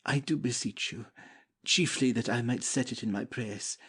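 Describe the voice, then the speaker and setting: A man acts a lovestruck young prince in a Shakespeare audiobook. His mid-pitched voice is husky and breathy around the edges, and he declaims his devotion with fervent, gallant humility. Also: normal speed, a bit bright. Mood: earnest, gallant. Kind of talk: dramatic Shakespeare audiobook reading.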